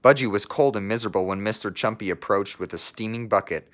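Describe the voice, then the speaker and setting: A man reading a children's storybook aloud like a teacher, in measured narration with casual asides. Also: lowish voice, a bit bright.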